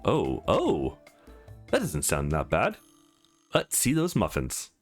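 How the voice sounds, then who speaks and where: Guy playing a casual wry young game hero, jokey, pitch jumps around, music under. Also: huge pitch swings, slow, heavily acted. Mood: hesitant, sheepish. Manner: casual, joking. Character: easygoing, wry young man on a date.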